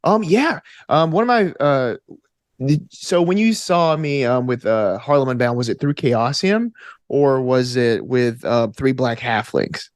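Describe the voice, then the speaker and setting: A man's voice: mid-range voice, unhurried, dry recording, clean studio sound. A man answering as an interview guest, earnest and reflective, thinking aloud with plenty of "like" and "you know". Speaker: podcast guest, actor and tabletop gamer.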